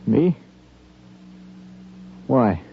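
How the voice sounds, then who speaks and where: A man's voice: mid-range voice, very animated, extremely slow, creaky, a little hiss or hum, full character voice. An actor playing a shaken, bitter young lawman in a 1950s radio drama, brooding and challenging. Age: young adult. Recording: muffled old radio recording, crowd behind.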